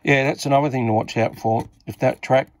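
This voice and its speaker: Australian male hobbyist presenter, mid-pitched and gravelly, casual and off the cuff, thinking aloud. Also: fairly even intonation, a little fast. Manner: hesitant, thinking aloud as he works. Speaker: radio repair hobbyist presenter. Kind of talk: casual unscripted repair walkthrough.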